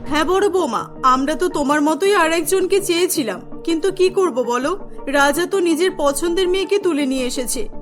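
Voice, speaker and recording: A woman's voice: very high voice, normal speed, soft background music. A woman voicing a complaining middle-aged mother-in-law in a cartoon.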